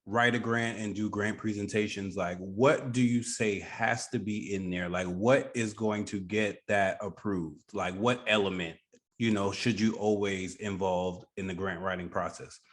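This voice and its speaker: A man's voice: on the low side, steady tone, measured pace. A man hosting a podcast, interviewing his guest in a casual, conversational style. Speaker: podcast host.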